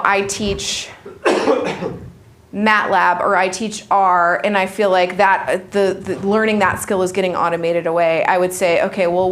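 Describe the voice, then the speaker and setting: A woman speaker answering audience questions about teaching, talking in a thoughtful way with frequent restarts and fillers. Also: medium pitch. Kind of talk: conversational presentation Q&A.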